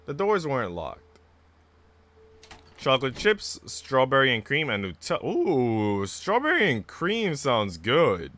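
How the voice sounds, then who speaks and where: A man livestreaming a visual novel, moving between casual, chatty musing and expressive story narration. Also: mid-range voice, unhurried. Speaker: streamer reading a visual novel aloud. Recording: music playing behind the voice. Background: background music.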